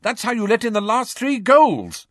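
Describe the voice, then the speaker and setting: A bossy young woman captain , put on by a male reader, barks orders, high-pitched and loud, her voice swinging up and down. The reading is close and dry. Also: very animated, normal speed, no room sound, exaggerated voice. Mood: exasperated. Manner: barking, commanding.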